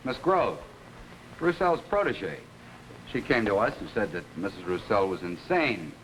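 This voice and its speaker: Courteous detective, 1950s TV mystery: low voice, hesitant questioning, noisy old soundtrack. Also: male voice, sing-song, a bit bright. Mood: puzzled, probing. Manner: hesitant, questioning phrasing with small pauses. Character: Ellery Queen, probing, courteous male detective. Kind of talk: 1950s television mystery acting.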